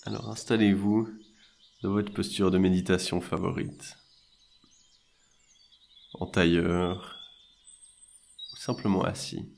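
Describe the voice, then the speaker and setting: Slow, low-pitched man guiding meditation. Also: flat delivery, gravelly, darkish tone. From French-speaking Switzerland. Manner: soothing, measured, with long pauses between short phrases. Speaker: meditation and hypnosis guide. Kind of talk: guided meditation narration.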